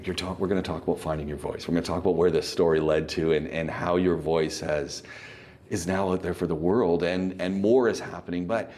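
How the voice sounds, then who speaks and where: A man's voice: low voice, steady tone, brisk, a little mellow. A man hosting a casual interview podcast, who often laughs and chimes in with short agreements. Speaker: podcast host.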